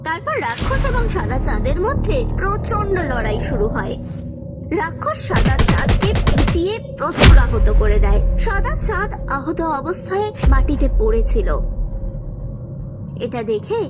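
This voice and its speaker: A woman reading a scripted narration for an animated story. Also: sits high, unhurried. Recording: music under the voice. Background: music.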